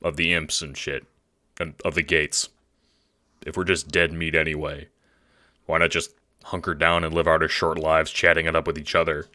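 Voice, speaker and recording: Guy doing a slangy cool dude, very low forward voice, halting deadpan, audio drama. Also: dry recording, clean studio sound. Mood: bleak, questioning. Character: Dave, slangy laid-back young dude masking dread.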